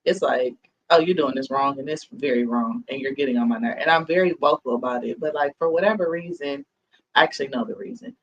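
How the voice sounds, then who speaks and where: A man's voice: mid-range voice, quick pace, dull audio, slight background noise. A man in his thirties hosting a podcast, talking in a reflective, earnest way and often checking in with "you know what I mean?". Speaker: podcast host. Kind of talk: casual chat.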